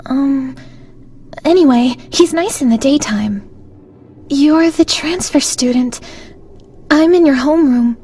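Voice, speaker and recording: A woman's voice: high voice, slow, long pauses, mellow, slight background noise. A woman playing a teasing, chatty teenage schoolgirl in a video game. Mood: friendly, curious.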